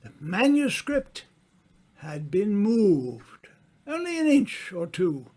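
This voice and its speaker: A man narrating an old-time radio mystery in a dramatic, deliberate storytelling manner. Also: high-pitched, wildly swooping pitch, darkish tone.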